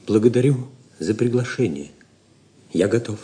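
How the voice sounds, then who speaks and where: A man's voice: on the low side, expressive, moderate pace, mellow, slightly rough. A man acting a reserved, courteous, grave wandering pilgrim in a theatrical audio drama. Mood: guarded, courteous. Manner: restrained, courteous.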